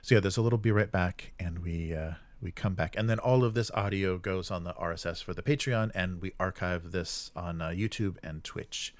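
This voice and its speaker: A man's voice: sits low, moderate pace, few pauses, dry recording. A man hosting a casual live stream, rambling and thinking aloud as he reacts to chat comments.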